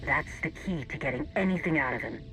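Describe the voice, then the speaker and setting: A man's voice: fairly high pitch, lively intonation, fast, noisy background. A man playing a blunt, tactical warrior advisor in a sci-fi game, giving clipped instructions through a radio comms filter. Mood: firm coaching. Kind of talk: cinematic video game acting.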